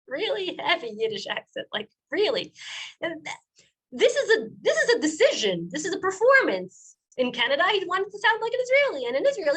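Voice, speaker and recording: A woman with a fairly high voice answers questions over a video call. She thinks aloud, restarting often, and grows animated as she goes. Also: breathy edge, rough recording. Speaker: guest lecturer, doctoral student.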